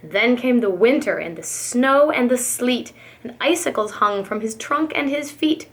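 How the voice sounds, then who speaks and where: A woman reading a storybook to young children as a preschool reader, with dramatic, deliberate phrasing. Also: fairly high pitch, expressive.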